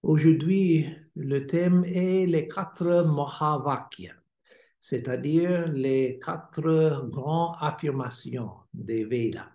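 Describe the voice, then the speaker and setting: An American man, a native English speaker, reads a spiritual lecture in careful, hesitant French with many pauses. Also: a little high, mellow. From United States. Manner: halting, many hesitations while reading. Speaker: swami giving a lecture. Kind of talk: read-aloud spiritual lecture. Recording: roomy echo.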